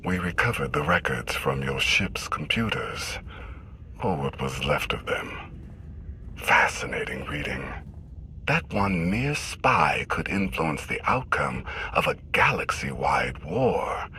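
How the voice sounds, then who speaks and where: An actor playing an arrogant, scornful young warrior prince in a dramatic, measured, disdainful video game performance. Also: male voice, rumbling low, sing-song, pushed and bright, slight background noise, full character voice. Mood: smug, menacing. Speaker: villain prince.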